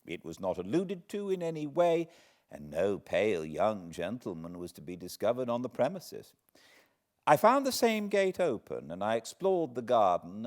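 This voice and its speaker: A British man reading classic literature aloud as a narrator, in a measured, dramatic manner with deliberate pauses. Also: on the low side, darkish tone. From Britain. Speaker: audiobook narrator, host of fireside readings. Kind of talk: expressive literary storybook reading.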